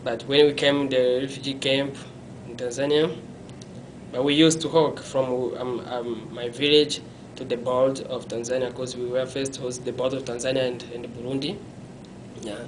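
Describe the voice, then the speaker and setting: Young man, flat halting speech. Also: mid-range voice, slight background noise, low-quality recording. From Congo. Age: young adult. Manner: halting, self-correcting, non-native phrasing; gestures with his hands while talking. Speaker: interviewee. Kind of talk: personal story in an interview. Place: small indoor room.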